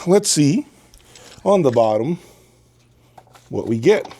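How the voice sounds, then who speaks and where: A man's voice: on the high side, sing-song, takes it slowly, warm tone, husky. A man hosting a product review in casual talk to camera, speaking emphatically and repeating phrases for stress. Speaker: host of an audio equipment review channel.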